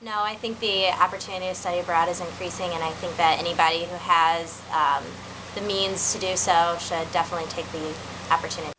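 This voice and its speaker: A woman's voice: on the low side, level tone, a little fast, noisy background. A young woman, a university senior, answering interview questions fluently and earnestly. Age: young adult. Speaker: student interviewee. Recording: clear background ambience.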